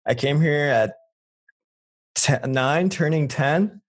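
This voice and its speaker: A man's voice: on the high side, slow pace. A man in his twenties from Mexico, co-hosting a casual podcast chat and telling stories with a joking, rambling manner. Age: 20s. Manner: joking storyteller, many likes. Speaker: podcast co-host.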